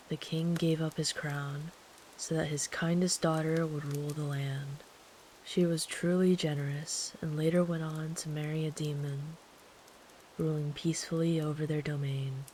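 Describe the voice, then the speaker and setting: A woman playing a kind, protective traveler in an ASMR sleep-aid roleplay, speaking gently and soothingly in a hushed, partly whispered voice. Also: deep voice, slight background noise, poor audio. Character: kind, protective traveler comforting a runaway by a campfire.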